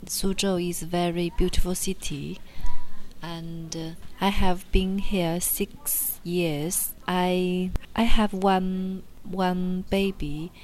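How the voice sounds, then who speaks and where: A woman's voice: lowish voice, level tone, slow pace, a little mellow, soft background music. A woman from China, introducing herself hesitantly in English class. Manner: hesitant, repeating words. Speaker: English student.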